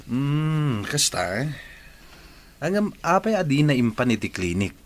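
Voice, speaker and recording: A man's voice: mid-range voice, sing-song, unhurried. A man with an Ilocano accent playing a nosy, petty teacher in an audio drama. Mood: prying. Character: nosy, critical male teacher, petty and challenging colleague. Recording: clearly noisy background.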